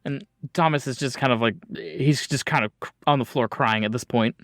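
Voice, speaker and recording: A man's voice: medium pitch, normal speed, fairly bright tone, no room sound, clean studio sound. A man narrating a tabletop horror game as game master, describing scenes vividly and off the cuff.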